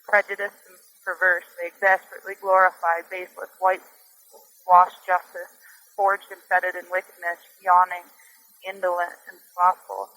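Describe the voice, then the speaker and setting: A woman reading her own poem in a deliberate, declamatory manner. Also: lowish voice, flat delivery, sharp tone, rough recording. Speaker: poet reading own work. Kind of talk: poetry recitation.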